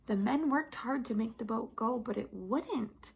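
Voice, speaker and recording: Lady doing a kids storytime read aloud, really slow, creaky edges, bit of background noise. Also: a little high. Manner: simple, repetitive, questioning, addressing young listeners. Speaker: children's Bible storyteller. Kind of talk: animated read-aloud for young children.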